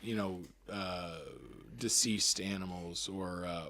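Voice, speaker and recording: A man's voice: low voice, steady tone, takes it slowly, pushed and bright, a little hiss or hum. A man from Florida co-hosting a comedy podcast, riffing with wry, playful banter. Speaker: comedian co-host. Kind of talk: casual podcast chat.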